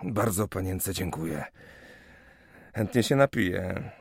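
An actor playing a bashful, lovestruck young geometer in a period radio drama, polite and prone to hesitant stammering. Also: male voice, low-pitched, lively intonation, takes it slowly, gravelly, breathy edge, no room sound, full character voice. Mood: downcast, weary. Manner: hesitant, stammering when flustered. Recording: music behind the voice.

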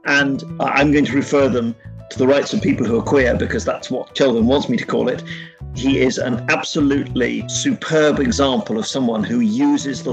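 A male podcast host speaks in a formal, measured way, as if from prepared notes. His voice sits at a middling pitch with a distinctly gravelly, creaky edge. Faint music plays behind him. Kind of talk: scripted podcast introduction.

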